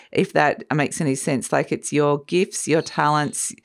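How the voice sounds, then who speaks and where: A woman giving a casual, musing tarot reading, her voice a little croaky from a cold, often trailing off and restarting. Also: low voice, steady tone, unhurried, a little hiss or hum. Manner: warm, musing, trails off and restarts, light laughs. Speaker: tarot reader. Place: sunny room by a window, indoors.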